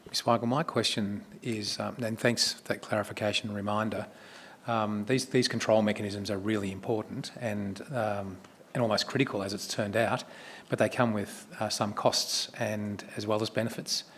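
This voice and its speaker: A man's voice: lowish voice, fairly even intonation, normal speed, a bit bright, a little hiss or hum. A man chairing a council meeting, asking brief, businesslike questions.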